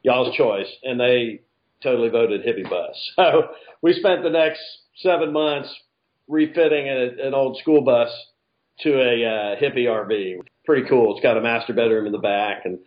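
A man's voice: medium pitch, moderate pace, muffled recording. A man, chatting in a laid-back way as a podcast guest. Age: 50s. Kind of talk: casual storytelling.

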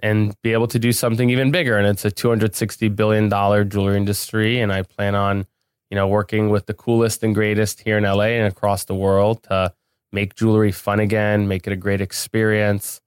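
A man's voice: fairly low pitch, little pitch movement, a little fast, clear, resonant tone, no echo. A man speaking casually as an interview guest on a podcast, recorded in a studio.

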